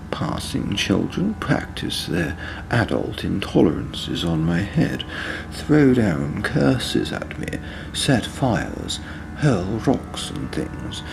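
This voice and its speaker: A man reading literary fiction as a disconsolate, dissolute old drinker, halting and deliberate, with a gravelly, breathy edge. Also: fairly low pitch, exaggerated voice. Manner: slow, halting, deliberate with long pauses. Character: disconsolate, dissolute, low-living old village drinker narrating. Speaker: author reading own short story. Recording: clear outdoor ambience and vehicle noise. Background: field recordings of ambience and vehicles.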